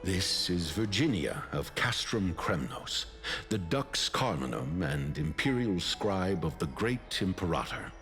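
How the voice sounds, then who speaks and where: Theatrical sage, deep and swooping. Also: male voice, big pitch swings, a little slow, fairly bright tone, faint music behind, heavily acted. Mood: courtly. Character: urbane, theatrical male sage and imperial advisor. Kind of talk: video game voice acting.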